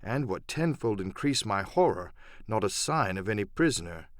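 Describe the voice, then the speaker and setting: A man reading dramatic first-person adventure narration for an audiobook, measured and literary. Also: lowish voice, big pitch swings, no echo, studio quality.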